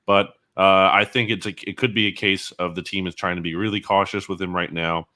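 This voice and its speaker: Deep, bright-voiced male sports analyst. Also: fairly even intonation, brisk.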